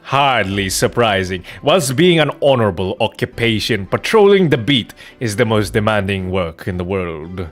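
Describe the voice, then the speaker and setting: A man's voice: lowish voice, sing-song, normal speed, few pauses, faint music behind, pitched below the performer's own voice. A man voicing a formal, pompous Victorian courtroom official in a video game, with wordy delivery. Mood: formal, grandiose.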